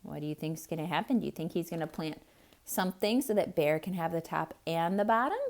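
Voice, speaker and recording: A woman reading a picture book aloud to children, pausing to point things out and ask them questions. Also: lowish voice. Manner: slow, expressive, asks children questions. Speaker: children's storyteller.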